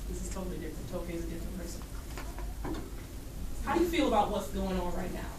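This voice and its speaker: A woman's voice: fairly low pitch, animated, fast. A woman teacher leading a classroom discussion, asking probing questions, repeating answers back and calling on students. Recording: roomy, distant mic, clearly noisy classroom.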